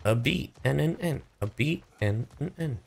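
A man chatting casually on a gaming livestream, animated and profane, swinging between loud outbursts and low asides. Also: normal speed, vocal fry, heavy background noise. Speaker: streamer and voice actor. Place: indoor livestream setup.

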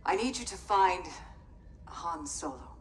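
An actress playing a poised, commanding rebel senator in a video game, measured and authoritative. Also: female voice, on the low side, expressive, slight background noise. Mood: businesslike. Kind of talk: cinematic video game acting.

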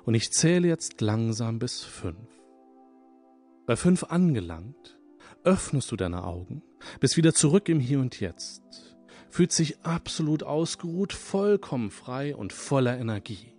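A man guiding listeners through hypnosis at an unhurried, drawn-out pace, his voice calm and sitting at an ordinary pitch. He repeats phrases gently to lull the listener, while soft music plays faintly underneath. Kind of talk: guided radio hypnosis session. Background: soft background music.

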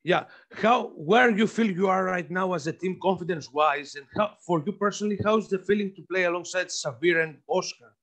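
A male reporter on a video call asks a player questions in a halting way, restarting and rephrasing. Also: sits high, fairly bright tone. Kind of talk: press conference question. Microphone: laptop video call.

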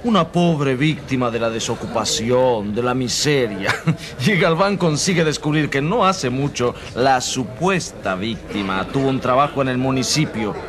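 A man's voice: mid-range voice, expressive, unhurried, fairly bright tone. A man playing a seasoned, cocky court journalist in a radio drama, amused and emphatic. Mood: mocking, amused.

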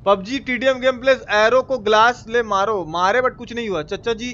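Young bihari guy streaming a game, high voice, slangy and exclaiming, game noise behind him. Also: moderate pace, fairly bright tone, lo-fi sound. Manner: colloquial, playful exclaiming. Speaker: game livestreamer. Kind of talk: casual live gameplay commentary, chatting with viewers. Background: video game sound.

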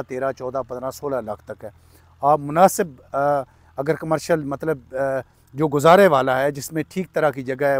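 A middle-aged man with a Pakistani Urdu accent, giving a fluent, persuasive real-estate sales pitch to camera outdoors on a lapel mic. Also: no room sound. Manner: fluent, persuasive, steady listing of prices with frequent "uh" fillers. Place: outdoors at an open housing plot, sunny.

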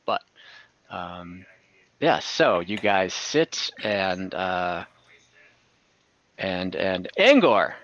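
A man narrating an improvised tabletop game as game master, in a hesitant, halting manner. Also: sits low, sing-song, a bit bright. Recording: dull lo-fi call audio.

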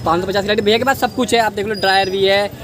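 A man's voice: high-pitched, steady tone, racing. Chatty young man vlogging in a crowded market. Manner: chatty, addresses viewers as guys and brother, asks prices and repeats them. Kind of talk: market walk-through vlog. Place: busy outdoor street market. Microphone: handheld phone at arm's length. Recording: loud street crowd behind.

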